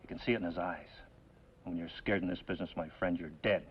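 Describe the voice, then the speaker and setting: A man's voice: deep voice, fairly even intonation, fast talker, natural voice. An actor playing a bitter, hot-headed outlaw gunman in a 1950s television western, with impatient, tough, slangy talk. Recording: dull, hissy old television soundtrack.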